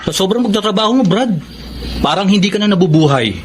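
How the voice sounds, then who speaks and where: A concerned young Filipino friend with a high, lively voice. He gently challenges in radio drama style, over a noisy recording. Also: fast. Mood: chiding.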